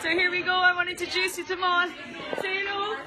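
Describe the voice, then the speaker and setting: High-pitched bubbly woman hyping up her dance class, crowd chatter all around. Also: little pitch movement, fast talker. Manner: bubbly, cheering and teasing. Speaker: dance teacher presenting a segment. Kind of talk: lively casual introductions. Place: hotel dining room with a crowd.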